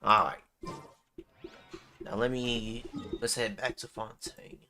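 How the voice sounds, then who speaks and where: A man's voice: medium pitch, steady tone, slow, pushed and bright, faint music behind. A man hosting a casual gaming livestream, talking in a laid-back, slangy way. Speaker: livestream host.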